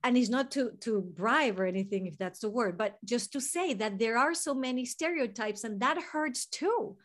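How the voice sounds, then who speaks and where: A woman's voice: on the high side, expressive, moderate pace. A woman in her forties from Venezuela with a Venezuelan Spanish accent, a therapist speaking earnestly and reflectively as a podcast guest. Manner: earnest, reflective, speaks in long unfolding sentences. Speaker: guest, licensed mental health therapist. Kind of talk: podcast interview.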